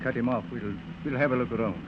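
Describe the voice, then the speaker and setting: An actor playing a gruff, mocking police patrolman, bossy and sarcastic, in a 1930s radio serial. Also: mid-range voice, quick pace, background noise, close to an everyday voice. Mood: businesslike. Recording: dull lo-fi 1930s radio recording.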